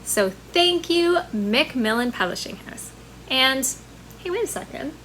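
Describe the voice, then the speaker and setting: Woman, high-pitched, unhurried, children's librarian, sing-song storytime delivery, simple phrasing. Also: lively intonation, fairly bright tone, slight background noise, low-quality recording. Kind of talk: children's storytime presenting with songs and rhymes.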